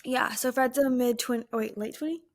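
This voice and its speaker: A woman in her late twenties co-hosting a casual podcast, soft-spoken and a little tired, often giggling. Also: fairly high pitch, steady tone, fairly quick, no echo. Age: late 20s. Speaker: podcast co-host. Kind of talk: casual chat.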